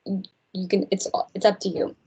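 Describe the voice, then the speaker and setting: A woman's voice: medium pitch, completely flat delivery, unhurried, dark tone, breathy edge, faint music behind. A young woman from India, a third-year student, presenting in a friendly, earnest way with some hesitant fillers. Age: young adult. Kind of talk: informal presentation.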